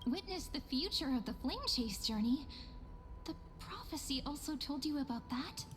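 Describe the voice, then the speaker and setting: A woman's voice: high voice, lively intonation, moderate pace, slight background noise. A woman playing a curious, courteous young heroine in a fantasy game, speaking in a polite, composed way. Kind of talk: video game voice acting.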